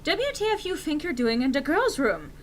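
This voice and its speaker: Woman acting a high, shouting, angry goth teenage girl. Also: very animated, moderate pace, slight background noise. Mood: furious, indignant. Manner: shouting, indignant, overwrought.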